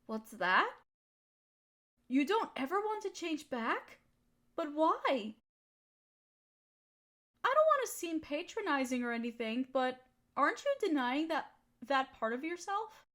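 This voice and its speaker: A woman's voice: sits high, sing-song, measured pace, fairly bright tone, exaggerated voice. A woman playing an affectionate, teasing girlfriend in an intimate comfort roleplay, soothing and cooing as she pampers her partner. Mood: reassuring. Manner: cooing, soothing pet-talk. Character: affectionate, teasing, nurturing girlfriend of a fox spirit. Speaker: audio roleplay voice performer.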